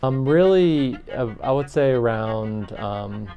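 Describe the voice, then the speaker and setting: A man's voice: medium pitch, lively intonation, takes it slowly, highs cut off, faint music behind. A man who teaches, explaining things informally in a documentary interview. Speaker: audio production teacher.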